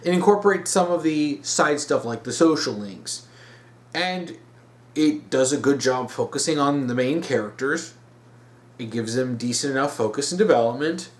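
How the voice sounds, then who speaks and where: A man's voice: mid-range voice, animated, normal speed. A man giving emphatic opinion commentary as a video host, repeating words for stress.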